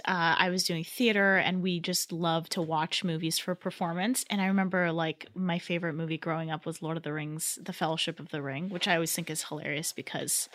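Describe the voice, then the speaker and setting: A young woman from Southern California chats as an interview guest about her path as a director. Her voice is bright and forward, and she often breaks into laughs and restarts her sentences. Her speech is loose and full of fillers. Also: on the low side, steady tone, brisk, hardly any pauses. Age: 20s. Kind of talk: casual interview chat.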